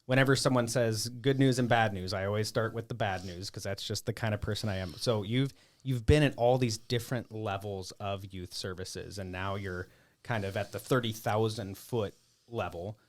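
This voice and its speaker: American male podcast host. Slightly low voice, casual, joking, self-deprecating. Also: moderate pace. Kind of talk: casual interview chat.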